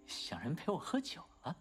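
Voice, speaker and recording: A teasing carefree young hero. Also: male voice, on the high side, full character voice. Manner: teasing, laughing. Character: playful young man, teasing. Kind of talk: Chinese animated series dub.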